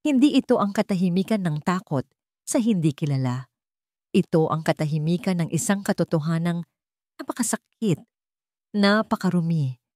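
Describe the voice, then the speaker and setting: A woman with a fairly low voice narrates a dramatic story. She sounds solemn and suspenseful, phrasing each line deliberately. The recording is dry and close. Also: a little mellow, no room sound.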